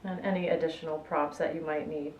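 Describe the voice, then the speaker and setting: A woman guiding a relaxation meditation in a measured, soothing way, with gentle pauses. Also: low voice, fairly even intonation. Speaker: yoga nidra guide. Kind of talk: guided relaxation meditation, instructional narration. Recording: echoey room with faint background noise.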